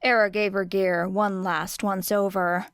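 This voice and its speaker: In a dry, close sound, a woman narrates fiction at a slow, measured pace. Her reading is expressive and dramatic, with deliberate pauses between phrases. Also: mid-range voice, clear, resonant tone, no echo. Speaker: audiobook narrator.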